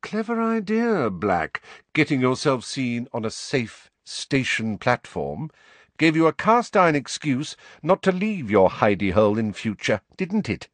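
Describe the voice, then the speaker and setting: A man's voice: mid-range voice, sing-song, a little slow, dry recording, voice pushed up. A man voicing a silky, sneering wizard teacher. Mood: soft menace. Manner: silky, sneering, soft-spoken.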